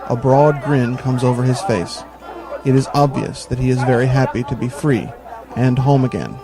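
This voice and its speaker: A man's voice: mid-range voice, steady tone, moderate pace, a crowd in the background, low-quality recording. A man reading scripted narration as the narrator of an audio drama. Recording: music bed behind voice.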